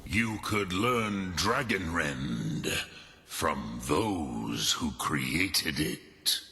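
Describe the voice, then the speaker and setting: An actor voicing a huge, ancient, wise dragon in a fantasy game, gravelly, solemn and ponderous. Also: male voice, a bass voice, lively intonation, sharp tone, long reverb tail, exaggerated voice. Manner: slow, ponderous delivery. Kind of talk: fantasy video game acting.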